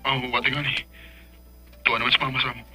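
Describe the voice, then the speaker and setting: Radio drama: reassuring, assertive Cebuano man, medium pitch, extremely crisp, forceful edge. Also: little pitch movement, fast, faint music behind. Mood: matter-of-fact. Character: male family acquaintance, reassuring and assertive. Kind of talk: Philippine radio drama acting.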